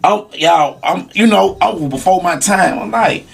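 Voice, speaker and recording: A man's voice: high voice, moderate pace, creaky, slightly rough, faint music behind. A man chatting casually on a podcast, informal and emphatic with profane street slang. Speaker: podcast speaker.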